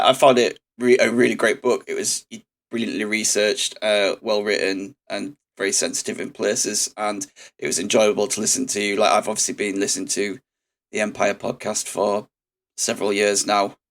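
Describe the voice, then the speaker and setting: A man co-hosting a podcast, his voice croaky after drinking, talking casually with lots of fillers and small laughs. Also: on the low side, fairly even intonation, normal speed. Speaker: podcast co-host. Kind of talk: casual chat.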